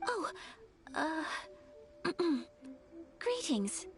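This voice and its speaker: Polite young clan lady, anime game role: high-pitched, gentle, formal, modest. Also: very animated, drawn-out words, heavily acted. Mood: modest, flustered. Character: polite, demure young noblewoman of a great clan. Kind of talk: anime video game voice acting.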